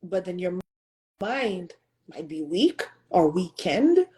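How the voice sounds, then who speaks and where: Earnest woman with a mellow voice. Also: lowish voice, sing-song, slow pace. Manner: earnest, emphatic, asks the audience rhetorical questions; switches into French and Haitian Creole mid-talk. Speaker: host. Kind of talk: motivational talk.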